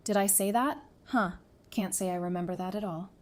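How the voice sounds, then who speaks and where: A woman playing a playful, sassy, warm-hearted doctor in an audio drama, with an expressive, teasing delivery. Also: medium pitch, fairly quick, natural voice. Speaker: voice actor in a fan audio drama. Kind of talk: conversational audio drama acting.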